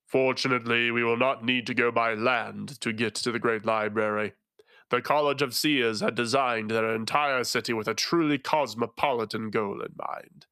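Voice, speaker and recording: A man voicing a solemn, tentacled male illusionist knight with a resonant voice and a slow, grave delivery. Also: mid-range voice, fairly even intonation, bright, forceful tone, smooth, no room sound, studio quality.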